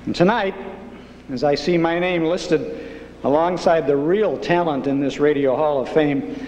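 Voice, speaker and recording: A man's voice: fairly high pitch, unhurried, darkish tone, muffled recording. A man giving a humble, earnest acceptance speech on a ceremony stage. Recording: echoey hall, faint noise.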